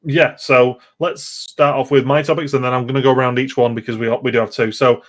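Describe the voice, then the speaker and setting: A man's voice: mid-range voice, little pitch movement, brisk, fairly bright tone. A man hosting a casual gaming podcast, chatty and digressive, often interrupting himself as he goes. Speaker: podcast host.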